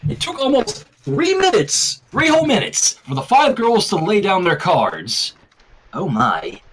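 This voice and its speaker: Casting: male story narrator, high pitch, very animated, theatrical with casual interjections. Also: unhurried, slight background noise. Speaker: fan fiction reader.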